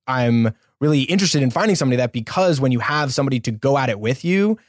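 A man's voice: medium pitch, lively intonation, fast, no room sound, studio quality. A man with an American accent, a startup founder explaining things earnestly in a casual interview.

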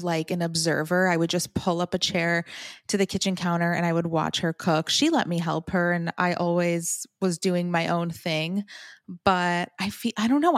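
A woman's voice: lowish voice, dry recording, studio quality. A young woman from Michigan chatting as a podcast guest, telling stories with lots of "like"s and enthusiasm. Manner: chatty, enthusiastic storytelling with many "like"s. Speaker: podcast guest, cookbook author. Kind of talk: casual interview chat.

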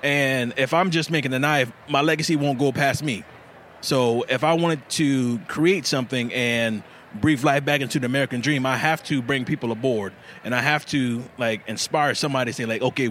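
A man in a casual festival interview explains how he makes his knives, earnest and a little halting, with frequent fillers. His pitch sits mid-range. Faint outdoor ambience hums behind him. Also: fairly bright tone, a little hiss or hum. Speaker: knife maker.

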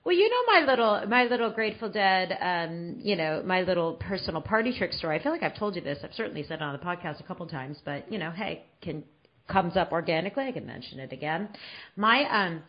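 A podcast host in her forties talks in a casual, meandering way, circling back with plenty of fillers and restarts. Her voice sits on the lower side, and the recording sounds a bit dull and lo-fi. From San Francisco Bay Area. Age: 40s. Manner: rambling, many fillers and restarts.

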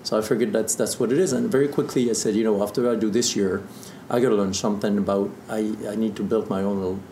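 Male, 50s, French accent, native French speaker, lower register, casual storyteller. Echoey room, some background noise. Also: steady tone, quick pace, dark tone. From southern Burgundy, France. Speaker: interview guest, distiller.

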